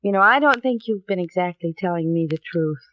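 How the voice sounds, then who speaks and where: A woman's voice: on the low side, expressive, brisk, natural voice. An actress playing a chatty, curious single woman in a 1950s radio drama, breezy and inquisitive. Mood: curious, suspicious. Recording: dull vintage radio recording.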